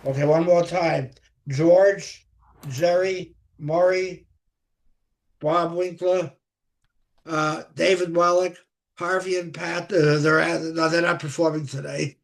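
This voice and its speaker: Male organizer on a video call; unhurried, halting delivery, slightly high voice. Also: steady tone, vocal fry. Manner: slow, halting, reads out a list with many fillers. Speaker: song circle organizer. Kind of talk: casual online meeting chat.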